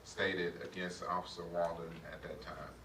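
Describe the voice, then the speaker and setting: A man answering questions at a public meeting in formal testimony, halting with many filler pauses and polite "yes, sir" replies. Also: deep voice, level tone. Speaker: school district official answering questions. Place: public board meeting room. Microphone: shared table mic, often off-axis. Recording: echoey room, distant mic, noisy.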